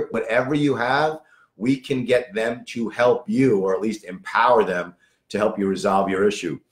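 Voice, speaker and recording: Hoarse guy in his 50s, lawyer giving a straight-talk update, lowish voice, kinda echoey room. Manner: direct, advisory, repeats key phrases for emphasis. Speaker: lawyer for online sellers. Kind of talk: direct-to-camera news briefing.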